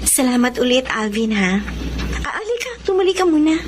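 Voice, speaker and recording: A woman's voice: sits high, very animated, a little fast, background noise. An actress playing an outspoken, sociable young woman with a Filipino accent in a radio drama. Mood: friendly. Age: young adult. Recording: music playing behind the voice.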